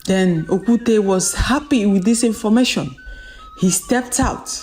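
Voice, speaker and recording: A woman narrating a folktale in a dramatic, solemn and deliberate storytelling manner, repeating phrases for emphasis. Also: mid-range voice, a little mellow, soft background music.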